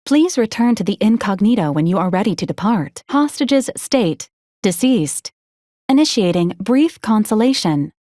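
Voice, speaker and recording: Woman voicing a deadpan, polite robot companion. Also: medium pitch, moderate pace, dry recording, clean studio sound, lightly acted. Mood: calm, deadpan. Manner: formal, clipped, deadpan machine-like announcements. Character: polite, unflappable female robot companion assisting a commander. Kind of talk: scripted video game character lines.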